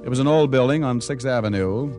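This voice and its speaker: An actor playing a cocky, sardonic American private detective in an old-time radio drama, breezy and wisecracking. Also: male voice, mid-range voice, a little fast, darkish tone, natural voice. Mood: wry narration. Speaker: radio drama lead and narrator. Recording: vintage radio recording with music.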